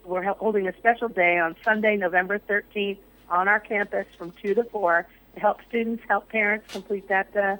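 A woman's voice: medium pitch, fairly even intonation, normal speed, a bit bright, rough recording. A woman, a college chancellor, talking casually on a radio show with lots of ums while losing her voice. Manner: upbeat, many fillers.

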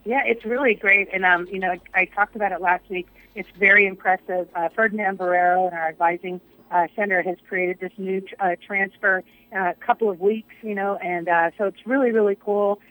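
A woman's voice: medium pitch, fairly even intonation, fairly quick, a bit bright, rough recording. A woman, a college chancellor, talking casually on a radio show with lots of ums while losing her voice. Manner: upbeat, many fillers.

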